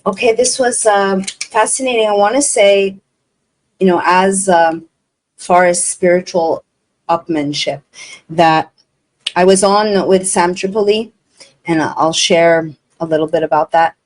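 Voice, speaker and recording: A woman's voice: lowish voice, steady tone, unhurried, poor audio. A woman from Montreal, not a native English speaker, hosting an interview in an earnest, effusive way. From Montreal, Canada. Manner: effusive, earnest, retells dialogue. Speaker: interview host. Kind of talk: casual interview chat.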